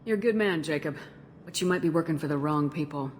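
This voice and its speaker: A woman's voice: low-pitched, fast, a little mellow, big-room sound, slight background noise, natural voice. A woman playing a no-nonsense military commander in a video game, direct and assertive as she questions her crew.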